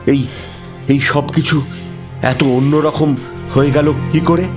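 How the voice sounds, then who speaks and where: A man narrating a scripted ghost story in a dramatic, suspenseful style with measured phrasing. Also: on the high side, fairly even intonation. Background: instrumental music.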